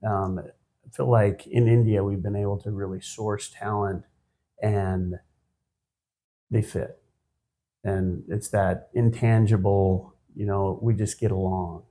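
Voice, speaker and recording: A man in his fifties, a guest on a business podcast, answering in a measured, reflective way with frequent pauses and restarts. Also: lowish voice, flat delivery, mellow. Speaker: guest, managing partner of an accounting firm. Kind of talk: conversational podcast interview.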